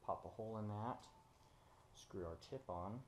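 A young man casually explaining an oil pan install in his home garage, relaxed and full of ums while he works. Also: on the low side, moderate pace, a little hiss or hum. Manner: relaxed, many ums and uhs while working. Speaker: DIY mechanic host. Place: home garage, concrete floor. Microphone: camera on the floor nearby, hands busy.

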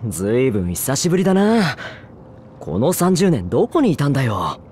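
A man voicing a casual, rough-spoken rabbit-eared townsman in a video game, blunt and slangy, with drawn-out hesitations. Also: medium pitch, wildly swooping pitch, darkish tone, slight background noise. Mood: surprised, friendly. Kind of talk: Japanese video game voice acting.

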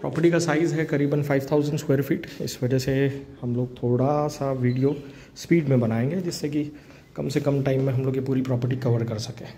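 A man's voice: medium pitch, fairly even intonation, a little fast, mellow. A man walking through an empty house, casually pointing out each room for a property tour video. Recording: phone video with clear room noise.

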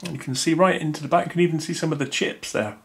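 A man's voice: fairly high pitch, steady tone, fast, heavy background noise. A man, thinking aloud with many fillers as he unboxes a gadget at a table indoors. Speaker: unboxing video host. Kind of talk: casual unboxing commentary.

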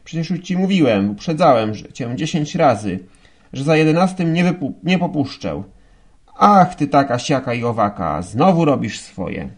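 Man acting a blustering, shouting police lieutenant, pitched high. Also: very animated, moderate pace, husky. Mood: furious, scolding. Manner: shouting, scolding, indignant. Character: furious, blustering, pompous male police lieutenant. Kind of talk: audiobook character acting.

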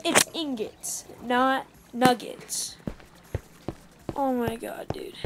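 A woman's voice: high-pitched, steady tone, extremely slow, in a whisper. A young woman hosting a casual game commentary, chatty and upbeat as she recaps the session. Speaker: gaming channel host.

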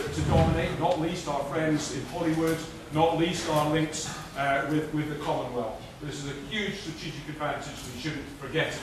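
A middle-aged Scottish man giving a brisk, assured prepared speech at a conference lectern, with frequent filler restarts. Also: a little high. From Scotland. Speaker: conference speaker. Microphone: lectern mic recorded by a far camera. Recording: echoey hall from far off, noisy.

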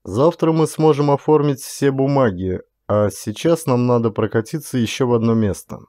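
A man voicing a steady, reassuring, protective man with compassion in an audiobook thriller. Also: mid-range voice, moderate pace, no echo, clean studio sound, close to an everyday voice. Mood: practical, helpful.

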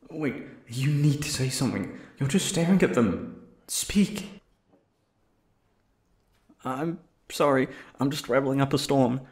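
Male actor as shy young man, flustered, nervous rambler, slightly high voice, unhurried, ASMR roleplay. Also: vocal fry, a little mellow, echoey room. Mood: scolding himself.

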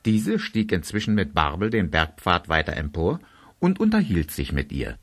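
A man's voice: low-pitched, big pitch swings, normal speed, fairly bright tone, a little hiss or hum. A man narrating a children's radio play in a scripted storybook style.

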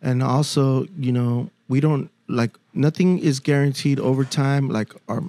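A middle-aged musician guest on a podcast, talking in a relaxed, rambling way with many fillers. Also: male voice, mid-range voice, a little slow, dry recording. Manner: laid-back, rambling, many likes and restarts. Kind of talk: casual chat. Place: podcast studio, indoors. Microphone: studio mic, playing acoustic guitar. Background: acoustic guitar.

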